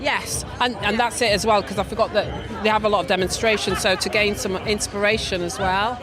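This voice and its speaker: A woman's voice: medium pitch, steady tone, brisk, music in the background. Female college educator, rambling answers, crowded expo. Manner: rambling, many fillers. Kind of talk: interview answers. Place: busy trade show hall. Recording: crowd noise of a trade show.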